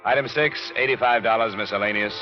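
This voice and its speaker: An actor playing a cool, dryly sardonic insurance investigator in a 1950s radio drama, clipped and understated. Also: male voice, fairly low pitch, a little fast, natural voice. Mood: dry, matter-of-fact. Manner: clipped, hard-boiled, understated. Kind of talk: 1950s radio drama acting and first-person narration. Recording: dull vintage radio recording with music.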